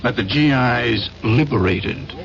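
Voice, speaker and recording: An actor playing a confident, persuasive middle-aged war veteran turned importer in a 1970s radio drama. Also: male voice, medium pitch, slow, vocal fry, fairly bright tone. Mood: smooth, conspiratorial. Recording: dull, noisy old radio broadcast.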